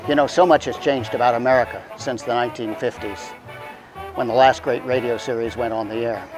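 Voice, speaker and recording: A male host reads a scripted radio show introduction in a medium-pitched voice. Music plays clearly behind him. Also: steady tone, a little fast, rough recording.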